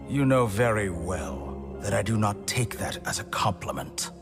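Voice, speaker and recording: Male game role: haughty scholar-schemer, very animated pitch, polite but superior, music underneath. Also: unhurried. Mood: smug, grandiose. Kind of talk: scripted game character acting.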